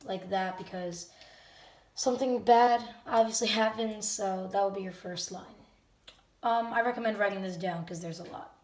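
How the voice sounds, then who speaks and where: A channel host talking casually and unscripted to viewers at home, a bit halting and often self-correcting. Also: medium pitch. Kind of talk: casual unscripted talk to camera audience. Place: indoor room at home. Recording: roomy, distant microphone.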